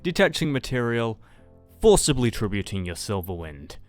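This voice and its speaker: A man's voice: mid-range voice, sing-song, normal speed, faint music behind. A man talking casually through his moves as a player in a roleplay card duel. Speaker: card game duelist player.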